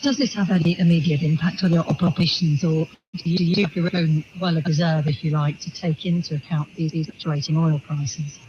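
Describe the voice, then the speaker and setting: A man's voice: a little high, fairly even intonation, brisk. A man presenting live breakfast radio news and interviewing guests, heard over shortwave radio. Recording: muffled shortwave radio with clear static. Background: radio static and hiss.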